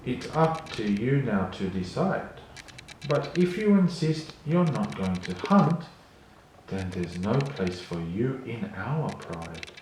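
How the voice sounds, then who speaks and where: A man's voice: mid-range voice, big pitch swings, slow, darkish tone, very echoey, slight background noise, poor audio. A man voicing a stern, worried mother lioness from a storybook, firm and admonishing.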